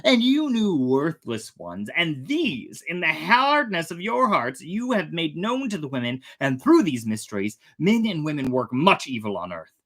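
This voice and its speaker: A storyteller voicing a stern, wrathful God who solemnly condemns fallen angels in slow scriptural declamation. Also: mid-range voice, big pitch swings, fairly bright tone, pitched above the performer's own voice. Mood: stern reproach.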